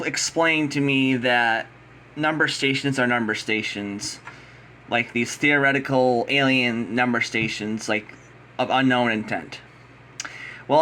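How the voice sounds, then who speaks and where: A man's voice: medium pitch, bright, forceful tone, slight background noise. An American man giving an unscripted, opinionated explainer in a blunt, combative, emphatic manner. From United States. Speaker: radio signal hobbyist.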